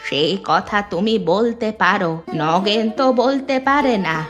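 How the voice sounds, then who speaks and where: A woman's voice: medium pitch, expressive, measured pace, a bit bright, lo-fi sound. A woman playing an earnest, worried old village grandmother in a cartoon. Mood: earnest pleading. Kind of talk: dubbed cartoon dialogue. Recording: music bed behind the voice.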